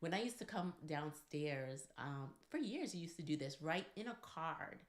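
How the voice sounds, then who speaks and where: Woman, low-pitched, animated, preachy, casual podcast talk, echoey room. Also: moderate pace. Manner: animated, preachy, addresses listeners directly. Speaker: co-host.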